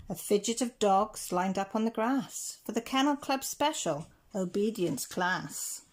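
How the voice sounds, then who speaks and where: A woman reading rhyming picture-book stories aloud in a measured, rhythmic way. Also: medium pitch. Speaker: children's story reader.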